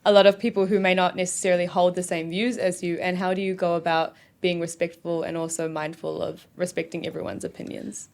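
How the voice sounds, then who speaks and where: A woman hosting a casual interview, chatty and quick to agree. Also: lowish voice, flat delivery, gravelly, darkish tone. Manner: chatty, constant agreeing backchannels. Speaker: host.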